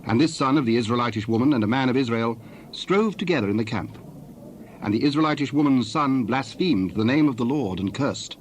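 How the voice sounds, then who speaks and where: A man's voice: medium pitch, lively intonation, brisk, a little hiss or hum. Male scripture narrator over background ambience. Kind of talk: scripted dramatized Bible narration.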